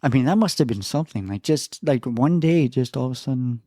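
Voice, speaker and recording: A man hosting a podcast, relaxed and affirming in casual conversation. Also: medium pitch, brisk, dry recording, studio quality. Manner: relaxed, affirming, many mm-hmms. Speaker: podcast host.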